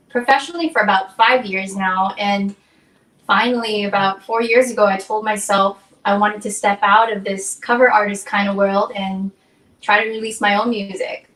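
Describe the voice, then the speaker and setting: A woman's voice: medium pitch, a bit bright, distant mic. A chatty young woman from Hawaii talks as a guest singer-songwriter and acts out the things people said to her. She sits back from the mic, and her voice rings around an echoey room. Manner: upbeat, chatty, acts out quoted exchanges. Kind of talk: casual interview chat.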